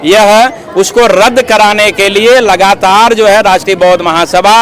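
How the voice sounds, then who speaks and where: A man's voice: sits high, fairly even intonation, a little slow, a bit bright. A man being interviewed as an activist, speaking formally and earnestly as he argues his case at length, with habitual filler phrases. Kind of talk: outdoor street interview, explanatory speech.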